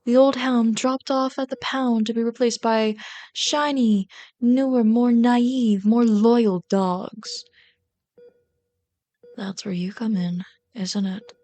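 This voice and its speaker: A woman voicing a bitter, yearning female villain in an intimate ASMR roleplay, brooding and dramatic, slipping into breathy whispers. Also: on the high side, steady tone, slow pace, mellow.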